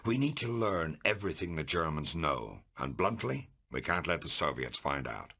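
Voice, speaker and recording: An actor playing a grave, middle-aged American intelligence officer who gives measured, authoritative mission briefings in a video game. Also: male voice, very deep voice, big pitch swings, gravelly, pushed and bright, breathy edge, heavily acted. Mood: solemn, urgent. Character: grave OSS commanding officer briefing a lieutenant.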